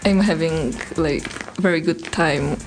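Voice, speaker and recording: A young woman from Poland chats as a studio guest. She speaks very slowly and a little shyly. Soft music plays behind her. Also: low voice, gravelly, low-quality recording. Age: young adult. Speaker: guest, student. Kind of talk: casual chat.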